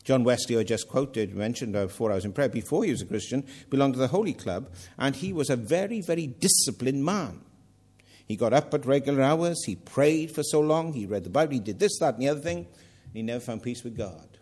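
A man's voice: medium pitch, lively intonation, a little fast, darkish tone, husky. An older man with a Welsh accent preaching a sermon, earnest with self-mocking humour. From Wales.

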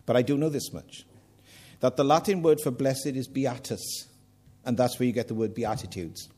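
A man's voice: mid-range voice, moderate pace, darkish tone. An older man with a Welsh accent preaching a sermon, earnest with self-mocking humour. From Wales.

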